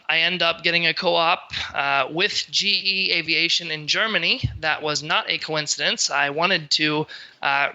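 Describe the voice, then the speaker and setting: A man's voice: on the high side, pushed and bright, poor audio. A young man from Pennsylvania, a podcast guest, talking through his story in a chatty, digressive way with plenty of ums and uhs. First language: English. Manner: chatty, many fillers, digressive explanations. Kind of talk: casual storytelling interview.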